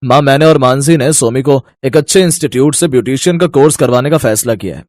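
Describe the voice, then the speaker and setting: A caring young husband in a cartoon moral story played by a man. He is earnest and firm as he explains himself. His voice sits at a middling male pitch in a dry recording. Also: quick pace, darkish tone, dry recording, natural voice. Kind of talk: Hindi animated moral-story voice acting.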